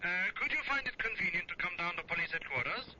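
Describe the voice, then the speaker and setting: An actor playing a polite police inspector heard through a telephone filter in a radio drama. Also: male voice, sits high, expressive, fast talker. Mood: polite, official. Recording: distant tinny phone in dull radio recording.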